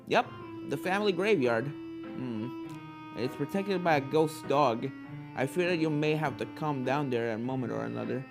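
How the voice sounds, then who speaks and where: A narrator voicing a calm, helpful male pony professor who gives chatty, encouraging instructions in a fan fiction story. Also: medium pitch, normal speed, gravelly, music in the background, voice pushed down, close to an everyday voice. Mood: explaining.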